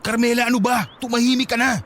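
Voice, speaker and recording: Domineering husband, radio drama: impatient, high, rapid, insistent. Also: male voice, fairly even intonation, fairly bright tone, slight background noise. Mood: alarmed, scolding. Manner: barking, insistent. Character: angry, defensive husband and father, domineering and impatient.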